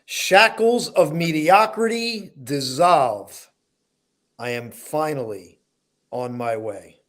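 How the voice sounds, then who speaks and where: A man's voice: on the high side, slow, fairly bright tone. A man hosting a talk show about poetry in an earnest, informal, heartfelt way.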